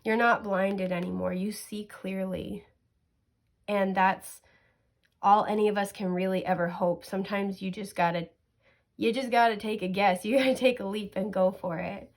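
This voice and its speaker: A sniffly woman reading tarot cards in a chatty, reassuring way, with many fillers and soft laughs. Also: mid-range voice, steady tone, normal speed. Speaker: tarot card reader. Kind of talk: casual pick-a-card tarot reading.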